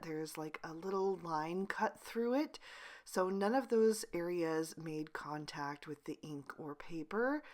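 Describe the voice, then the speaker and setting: Woman craft host, low-ish and hoarse, breathy near-whisper voice-over, steady tutorial delivery. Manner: pushes to speak as loudly as she can, steady instructional tone. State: hoarse, losing her voice. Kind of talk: voice-over narrating a card-coloring tutorial.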